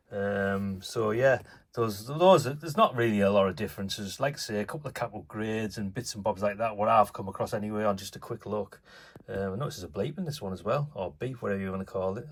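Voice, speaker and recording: A man hosting his own channel, talking casually and offhand while he works, with lots of fillers, recorded on a phone at an indoor workbench. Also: lowish voice. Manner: rambling, offhand, many fillers. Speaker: channel host. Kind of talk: casual hands-on commentary.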